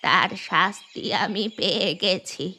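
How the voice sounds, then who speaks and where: A woman's voice: on the low side, a little slow, bright, forceful tone. A woman voicing an elderly, repentant village grandmother in a children's cartoon, plain-spoken and earnest. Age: older. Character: elderly village grandmother, once stingy, now repentant and generous. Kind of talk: children's cartoon character acting.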